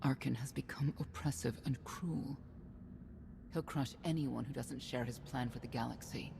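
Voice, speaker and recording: An actress playing a self-assured, candid woman knight in a game, composed and measured. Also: a bass voice, gravelly, noisy background. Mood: resolute, guarded. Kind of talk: video game cutscene acting.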